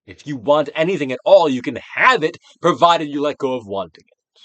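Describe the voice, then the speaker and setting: A man giving a dramatic, emphatic audiobook reading of a self-help book, moving between loud declarations and hushed phrases. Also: fairly high pitch, expressive, brisk, no room sound. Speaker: actor reading a book aloud.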